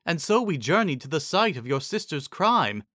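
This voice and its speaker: A man's voice: a little high, big pitch swings, moderate pace, bright, forceful tone, no room sound, studio quality, voice pushed up, exaggerated voice. A man voicing a humble female zebra seer in a fantasy story, speaking deferentially in formal rhyme. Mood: cautious. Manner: deferential, formal rhyming speech.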